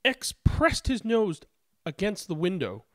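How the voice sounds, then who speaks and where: Very animated, unhurried children's storybook reader. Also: medium pitch, no room sound. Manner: animated, deliberate storytelling with emphatic word stress. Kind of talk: expressive read-aloud of a children's picture book.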